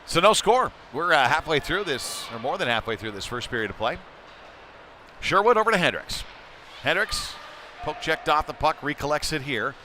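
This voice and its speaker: Male hockey play-by-play caller, bright forward voice, conversational and a bit halting, some rink noise behind. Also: on the high side, sing-song. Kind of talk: live youth hockey play-by-play commentary. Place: indoor ice rink.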